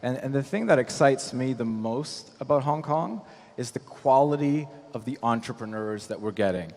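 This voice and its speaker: A man of medium pitch speaks as an investor on a conference panel. His explanations are measured and dotted with "you know". The sound carries the long reverb of a large hall. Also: animated, a little mellow. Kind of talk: panel discussion, explanatory talk.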